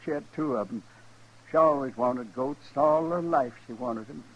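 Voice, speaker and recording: An actor playing a desperate, humble homesteader, plaintive and folksy, with a rural American accent in a 1950s radio western. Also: male voice, medium pitch, moderate pace, lightly acted. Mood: sorrowful. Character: desperate, starving poor homesteader, humble and pleading. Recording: dull old radio recording.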